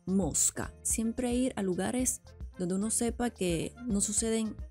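A woman's voice: medium pitch, slow, warm tone, soft background music. A woman, chatting casually into a headset mic while she reacts to a video and adds her own comments. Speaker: reaction streamer.

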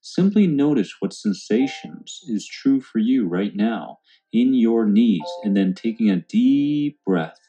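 A man calmly guiding a meditation in a soothing, unhurried way. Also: on the low side, dull audio. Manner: calm, soothing, unhurried instruction. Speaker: meditation guide. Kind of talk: guided meditation narration. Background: background music.

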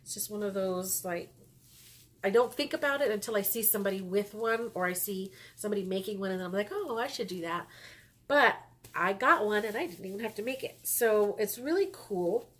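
A woman's voice: mid-range voice, expressive, a little fast, fairly bright tone. A woman in her forties with a stuffy nose, chatting casually to camera at home about her knitting, rambling with lots of ums and little laughs. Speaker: knitting podcast host. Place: small room indoors at home.

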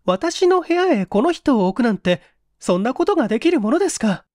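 Woman playing a high-voiced, genteel young lady. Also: big pitch swings, fairly quick, darkish tone, dry recording, studio quality. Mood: startled, polite. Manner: feminine, polite, flustered. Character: anxious, genteel young single woman recounting her ordeal. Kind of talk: dramatic audiobook reading.

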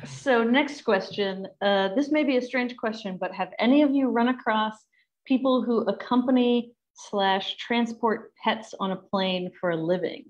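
A woman's voice: a little high, unhurried, highs cut off. A woman in her thirties chatting casually as she hosts and reads questions on a group video call. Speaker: host reading audience questions. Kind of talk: casual chat.

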